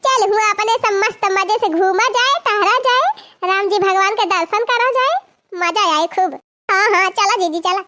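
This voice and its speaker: A woman's voice: very high-pitched, moderate pace, sharp tone. A woman voicing a feisty, chatty village sister-in-law in a cartoon comedy, with an Awadhi accent and a rustic, complaining manner. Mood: feisty, eager.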